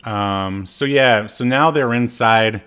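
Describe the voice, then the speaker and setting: A man's voice: mid-range voice, slow pace, fairly bright tone, clean tone. An American man co-hosting a podcast, chatting about a TV show in a laid-back, agreeable, conversational way. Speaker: podcast co-host. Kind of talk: casual chat reviewing a TV show.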